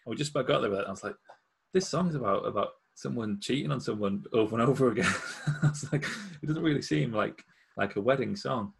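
Fast, gravelly-voiced male host. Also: mid-range voice, noisy background. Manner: quick anecdotal storytelling, laughs often. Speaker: musician. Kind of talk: casual chat.

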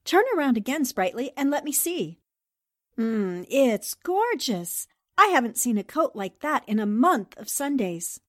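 Lively, witty young newspaperwoman played by a woman. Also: fairly high pitch, a little slow, dry recording, studio quality. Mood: admiring. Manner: expressive, wisecracking, incredulous. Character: smart, quick-witted young newspaperwoman. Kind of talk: 1940s radio acting.

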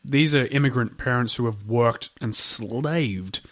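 A man's voice: mid-range voice, measured pace, fairly bright tone, dry recording. A man co-hosting a casual true-crime podcast, a bit nasal from a blocked nose, rambling with lots of fillers and restarts. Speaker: podcast co-host.

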